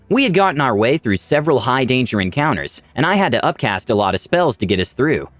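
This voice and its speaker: A man's voice: medium pitch, dry recording. A man narrating a scripted story in a dramatic, theatrical storytelling manner. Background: music.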